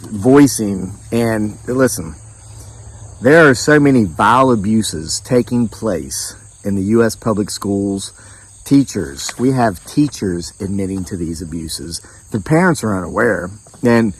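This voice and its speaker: A hoarse man hosting his own channel, talking to camera in an indignant, folksy way and reading out viewer comments. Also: on the low side, low-quality recording. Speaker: channel host.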